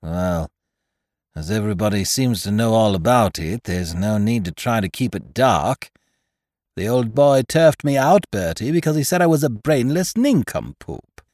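A man reading aloud, voicing a hard-up young gentleman who talks in a gloomy, rueful, chatty way. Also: fairly low pitch, expressive, normal speed, no room sound, studio quality, pitched below the performer's own voice, natural voice.

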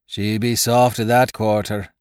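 A man's voice: fairly low pitch, level tone, measured pace, darkish tone, clear, resonant tone, no room sound, studio quality, voice pushed up, close to an everyday voice. A man voicing a drunken pirate gunner, teasing and warning in rustic archaic dialect for an adventure audiobook. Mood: tipsy warning.

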